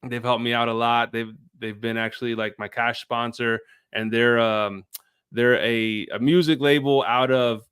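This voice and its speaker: A man's voice: medium pitch, steady tone, normal speed, a bit bright, clear, resonant tone, no echo, clean studio sound. A man speaking informally as a guest on a show, a video creator explaining things in casual chat.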